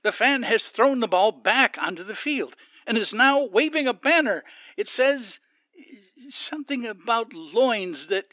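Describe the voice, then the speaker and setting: A man voicing an excitable play-by-play football announcer in an audio comedy. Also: very high-pitched, unhurried, dry recording, lo-fi sound, full character voice. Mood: excited. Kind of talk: sports commentary in an audio comedy.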